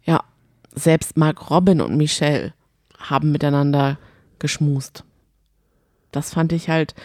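A woman's voice: low voice, darkish tone, dry recording, clean studio sound. A woman co-hosting a podcast in casual chat, speaking colloquially and with emphatic opinions. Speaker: podcast co-host. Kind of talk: casual chat about a reality show.

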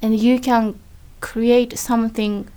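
A woman's voice: on the high side, level tone, dark tone, clean tone. Japanese-accented woman recounting her start. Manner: halting, searching for words, storytelling. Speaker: comedian guest. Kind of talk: interview chat.

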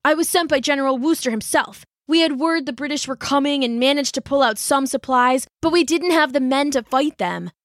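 A woman's voice: high-pitched, brisk, few pauses, no room sound, studio quality, voice pushed up, natural voice. Woman voicing a breathless wounded teen boy messenger. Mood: urgent. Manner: breathless, pleading. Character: sixteen-year-old boy messenger, wounded and urgent.

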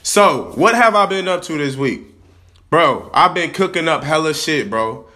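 Hyped young man, hoarse from a cold. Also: a little high, very animated, a little slow, bright, forceful tone. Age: young adult. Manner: hyped, slangy, swaggering. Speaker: podcast host. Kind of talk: casual vlog-style podcast talk. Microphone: camera.

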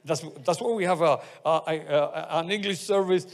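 A man with a Spanish accent delivers a sermon as pastor, his voice sitting somewhat high. He speaks haltingly, restarting phrases and dropping in amens. His words ring with the long reverb of a church hall. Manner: halting, many restarts and fillers, frequent amens. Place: church sanctuary.

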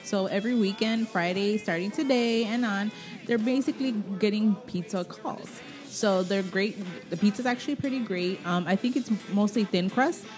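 A woman's voice: medium pitch, normal speed. Woman, co-host, casual teasing talk, restaurant, music playing behind. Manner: laughing, teasing. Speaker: podcast co-host. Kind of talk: casual banter.

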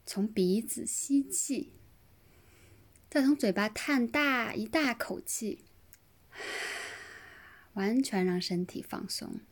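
A woman leading a guided relaxation meditation in a gentle, soothing manner, with pauses between short phrases. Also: a little high, lively intonation. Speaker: yoga nidra meditation guide.

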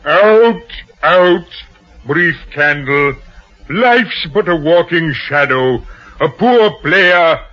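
Pompous, slow-spoken ham stage actor. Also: male voice, fairly high pitch, expressive. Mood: grandly declaiming. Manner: theatrical, declaiming, pompous. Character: vain hammy stage actor, gullible and self-admiring. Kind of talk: old-time radio drama acting. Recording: dull old radio recording with music.

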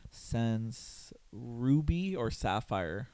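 Hoarse man in his thirties, podcast host, rambling casual chat, mellow tone, dry close mic. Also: medium pitch, animated, extremely slow, no room sound. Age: 30s. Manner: rambling, swearing, laughs a lot.

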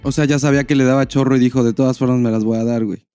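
A man's voice: mid-range voice, steady tone, rapid-fire, dark tone, smooth, soft background music. A man with a Mexican accent co-hosting a podcast, chatting casually with plenty of slang and swearing. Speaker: podcast co-host. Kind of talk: casual chat.

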